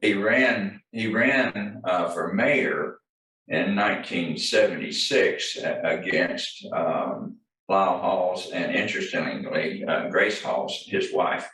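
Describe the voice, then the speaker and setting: Low-voiced older man, halting, echoey room. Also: sharp tone, distant mic. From Arlington, Virginia. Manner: halting, many fillers and restarts. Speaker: guest, former town administrator. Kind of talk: casual panel conversation.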